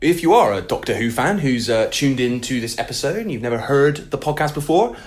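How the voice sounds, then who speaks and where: A man's voice: medium pitch, sing-song, fairly quick. A man co-hosting a podcast in a casual, chatty style with wry, jokey asides. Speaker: podcast co-host.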